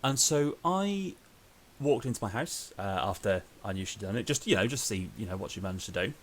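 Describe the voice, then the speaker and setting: A man's voice: fairly low pitch, expressive, brisk. A Welsh man hosting a casual podcast, rambling through a story with frequent ums. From Wales. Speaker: podcast host.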